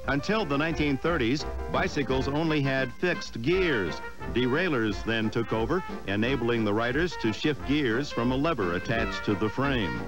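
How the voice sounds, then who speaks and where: A man's voice: medium pitch, animated, normal speed, music in the background. A man reading scripted narration for a sports newsreel.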